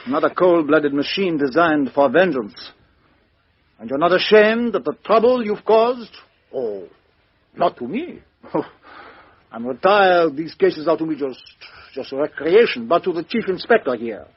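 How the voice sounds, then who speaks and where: An actor playing a shrewd, stern but courteous Belgian detective with a French accent and formal, deliberate phrasing in a radio mystery drama. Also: male voice, fairly high pitch, animated, husky. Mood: teasing, reproving. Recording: dull lo-fi radio recording.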